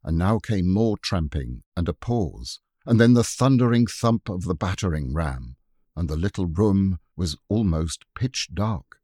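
A man narrating a children's classic audiobook in an expressive, measured storytelling manner. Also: sits low, no echo, studio quality. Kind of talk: scripted narration.

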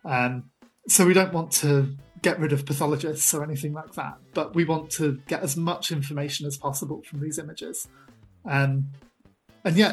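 A speaker in casual academic chat about applying mathematics to images, hesitant, with frequent restarts and self-deprecating humour. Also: mid-range voice. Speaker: mathematics researcher, co-host.

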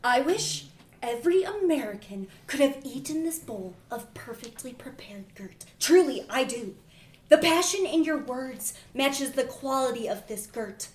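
Woman as pompous lady judge, higher-pitched, formal and deliberate, echoey room. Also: animated. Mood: moved. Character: imperious female judge, pompous but moved. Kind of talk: comedy script table read.

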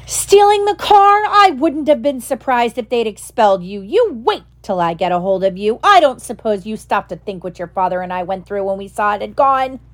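Furious shrieking mother, a woman putting it on, high and loud, scolding hard. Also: animated, moderate pace, hardly any pauses, close to an everyday voice. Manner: shrill scolding yells. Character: furious mother shouting by letter.

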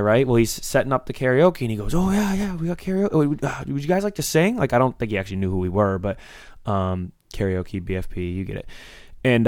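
A young man co-hosting a podcast with a slightly raspy voice, telling a long party story in a rambling, animated way with lots of fillers. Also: medium pitch, no echo, clean studio sound. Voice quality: a little raspy. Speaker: podcast co-host. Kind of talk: casual storytelling chat.

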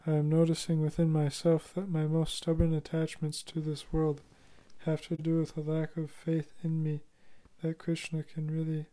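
Flat-voiced reader of listener comments. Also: mid-range voice. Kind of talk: reading messages aloud.

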